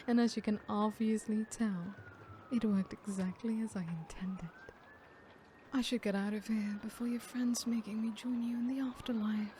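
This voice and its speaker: A woman playing a sadistic, smug female serial killer in an ASMR horror roleplay, theatrical and unhurried, often dropping into breathy whispers. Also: medium pitch, fairly even intonation, mellow, slight background noise, lightly acted. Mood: smug, taunting.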